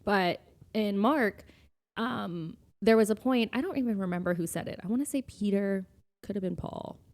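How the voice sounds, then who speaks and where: A woman's voice: mid-range voice, moderate pace, a little mellow. A woman podcast co-host talking casually about faith, informal and a little tentative, often saying "like" and "you know". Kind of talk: casual conversational discussion of faith.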